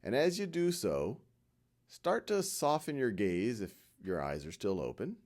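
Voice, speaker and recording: Low-voiced man gently guiding a meditation. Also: big pitch swings. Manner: gentle, reassuring, with frequent pauses. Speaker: guided meditation instructor. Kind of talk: guided meditation, unhurried spoken instruction.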